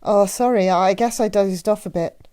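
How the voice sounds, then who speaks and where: Easygoing older man , read by a female narrator: soft-toned, plainspoken, casual, for an audiobook. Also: medium pitch, moderate pace, close to an everyday voice. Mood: groggy. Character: older easygoing small-town married man. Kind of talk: audiobook character voice.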